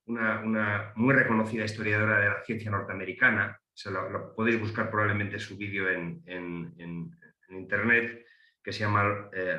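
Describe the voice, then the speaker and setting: A man's voice: fairly low pitch, sharp tone. A middle-aged man with a Galician accent, an economist speaking on a panel, hesitant with many fillers and restarts. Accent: Galician Spanish. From Galicia, Spain. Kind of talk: panel talk. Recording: roomy echo.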